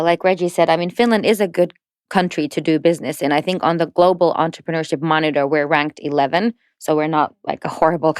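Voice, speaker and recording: A woman's voice: deep voice, clean tone, no room sound, studio quality. A woman talking as a podcast guest, fluent and explanatory, with light laughs. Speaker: podcast guest, entrepreneurship network representative. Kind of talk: casual podcast conversation.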